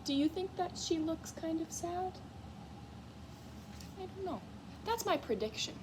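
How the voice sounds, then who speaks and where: A woman teacher giving a read-aloud lesson to young children in an expressive, encouraging way, often putting questions to her listeners. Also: very high voice, unhurried, noisy background. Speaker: music teacher. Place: school classroom, in a reading corner.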